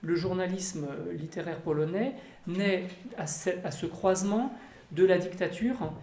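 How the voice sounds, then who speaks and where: A panelist giving a measured, lecture-like answer at a round table, with a few fillers. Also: mid-range voice, darkish tone. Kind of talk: round-table discussion, explanatory. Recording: echoey room, faint ambience.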